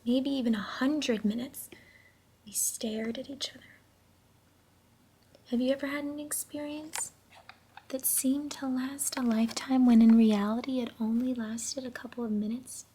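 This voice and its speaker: A woman reading a children's story aloud in a gentle, hushed, unhurried way, pausing to put questions to her listeners. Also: a little high, a little hiss or hum, rough recording. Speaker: storybook reader.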